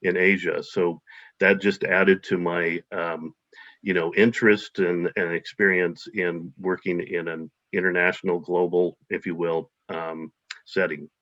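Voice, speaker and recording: Low voiced guy in an interview, lots of ums and restarts, dull lo-fi sound. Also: flat delivery, measured pace, a bit bright. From United States. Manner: hesitant, many fillers and restarts. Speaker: guest. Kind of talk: casual interview. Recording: dull lo-fi audio.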